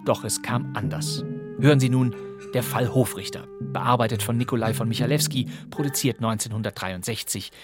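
A man's voice: medium pitch, normal speed. A man working as a radio presenter, reading scripted announcements for radio plays. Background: music.

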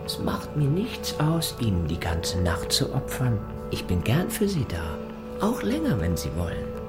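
Radio-play villain: courteous, insinuating secret-police man, very slow delivery, highly varied intonation. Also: huge pitch swings. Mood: quietly menacing. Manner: slow, insinuating, deliberate repetition. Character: menacing, falsely courteous male Gestapo interrogator. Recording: music under the voice.

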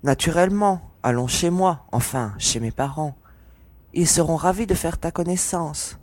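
A woman's voice: low voice, moderate pace, darkish tone. A woman playing a confident, friendly young mercenary agent in a science fiction audio drama. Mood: warmly welcoming. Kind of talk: French audio drama acting.

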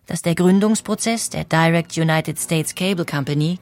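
A woman narrating a history documentary in a clear, composed storytelling manner. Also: on the low side, moderate pace, soft background music.

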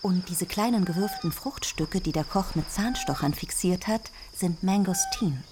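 A woman's voice: on the low side, normal speed, noisy background, close to an everyday voice. A woman playing a gracious, self-assured colonial businesswoman and hostess in a German radio drama, with polite, overly precise diction. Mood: gracious, inviting. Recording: outdoor insect and bird ambience behind.

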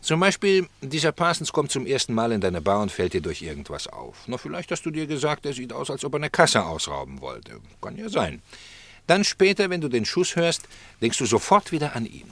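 Radio drama role: a needling, insinuating café regular, mid-pitched, swinging intonation. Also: male voice, wildly swooping pitch, brisk, a bit bright, dry recording, exaggerated voice. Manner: sly, teasing, insinuating. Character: needling, ironic male regular at a snack bar. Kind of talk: German radio drama acting.

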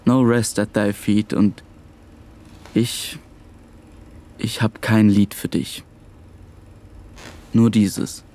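An actor in a radio drama playing a bereaved brother in a tender, sorrowful, intimate monologue. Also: male voice, on the low side, little pitch movement, drawn-out words, pauses often, warm tone. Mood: tender, mournful. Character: mourning brother addressing his dead sister, tender and sorrowful.

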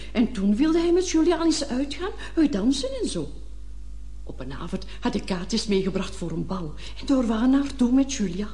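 Radio drama: genteel old lady, eager hostess and mother; high, very lively melody, gushing and chatty. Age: older. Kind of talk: Dutch-language radio play acting.

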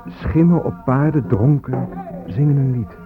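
A man's voice: medium pitch, unhurried, close to an everyday voice. An actor playing a weary, disillusioned, hungover man who broods in a radio drama monologue. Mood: weary, haunted. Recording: tinny, phone-like recording with music behind. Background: music.

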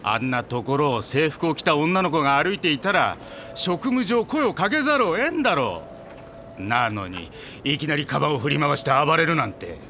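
An actor playing a gruff, weary police detective in an audio drama, grumbling and scolding with sarcasm. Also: male voice, on the high side, sing-song, normal speed, pushed and bright, slight background noise, heavily acted. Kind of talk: anime-style audio drama acting. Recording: studio drama with music underneath.

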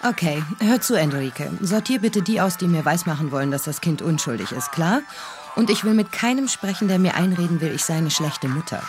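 An actress playing a blunt, hard-line talk radio host in a radio drama. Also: female voice, fairly low pitch, expressive, brisk, over music, lightly acted. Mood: brisk, irritated. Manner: blunt, provocative. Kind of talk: radio drama acting, call-in talk show monologue.

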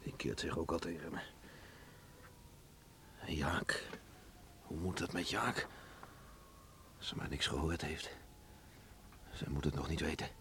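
A low-voiced, stern middle-aged farmer father, stubborn and weighed down by remorse. He broods and halts in a radio drama, with faint noise on the recording. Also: steady tone, sharp tone, full character voice. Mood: desolate, muttering. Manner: brooding, halting, muttering to himself. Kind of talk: Dutch radio play acting.

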